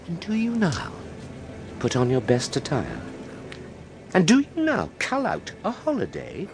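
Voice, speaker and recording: A man's voice: high-pitched, a bit bright, slight background noise, full character voice. An actor playing an indignant male Roman official who scolds a crowd, declaiming and dropping to a whisper. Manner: declamatory, scornful, drops to whispers. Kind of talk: radio drama acting.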